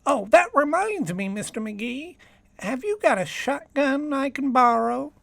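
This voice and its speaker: Lady doing timid henpecked bird lover guy, high voice, halting, old time radio comedy, super dry sound. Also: lively intonation, gravelly, a bit bright, no room sound, heavily acted. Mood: meek, plotting.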